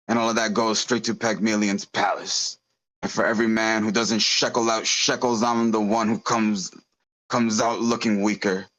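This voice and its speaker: Man acting as crime boss, low pitch, gruff, bitter, rambling, menacing, self-pitying, table-read drama. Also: fairly bright tone, low-quality recording.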